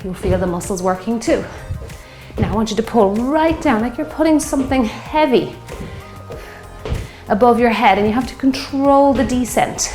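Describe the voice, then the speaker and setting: A woman in her fifties, a fitness instructor leading a guided strength workout, slightly hoarse from a sore throat and cheering on the class as she counts out reps. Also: a little high, moderate pace, a little mellow, roomy sound, soft background music. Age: 50s. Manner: encouraging, counting reps while exercising.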